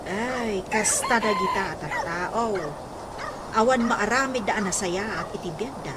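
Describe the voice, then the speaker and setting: An actress playing a gossipy, scoffing village housewife in an Ilocano radio comedy drama, with an Ilocano accent. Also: female voice, medium pitch, a little slow, lightly acted. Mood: scornful. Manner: exclamatory, scoffing. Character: gossipy, mocking village housewife, bickering and loud. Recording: noisy track with outdoor ambience.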